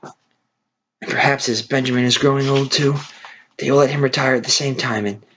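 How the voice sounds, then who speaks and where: A man reading aloud, voicing a tired, loyal old workhorse, soft and resigned. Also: mid-range voice, steady tone, normal speed, lo-fi sound, a little hiss or hum, voice pushed up, close to an everyday voice. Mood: resigned gentle.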